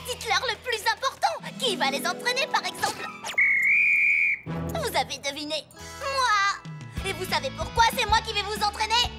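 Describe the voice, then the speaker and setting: A cocky, excitable young cartoon pony coach. The voice is very high and punchy, full of boastful impatience, with loud music behind it. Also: female voice, moderate pace. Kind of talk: animated cartoon voice acting. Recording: loud background music under the voice.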